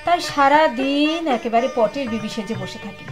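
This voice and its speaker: A woman's voice: high voice, animated, moderate pace. An actress in a Bengali audio drama playing a wistful, unhappy wife of a rich businessman, emotional and confiding. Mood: bitter, stifled. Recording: studio drama with sitar music behind.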